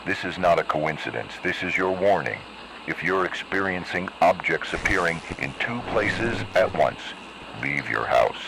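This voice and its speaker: A man voicing a grave narrator of eerie analog horror warnings, speaking in an ominous, measured and deliberate way over a noisy tape-style recording. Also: animated. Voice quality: very deep, gravelly.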